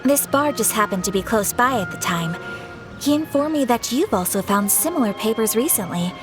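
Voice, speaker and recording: A woman's voice: a little high, expressive, moderate pace, natural voice. A woman playing a graceful, courteous young noblewoman in a video game, speaking formally. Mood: poised, polite. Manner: polite, poised, formal. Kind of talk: scripted game dialogue. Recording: music behind the voice.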